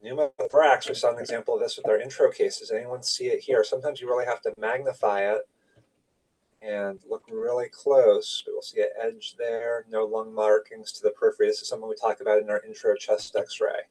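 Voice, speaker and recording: A man's voice: fairly low pitch, flat delivery, fairly quick, darkish tone, far from the mic, low-quality recording. A man giving an informal medical lecture, explaining slides in clipped, conversational phrases. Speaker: radiology lecturer.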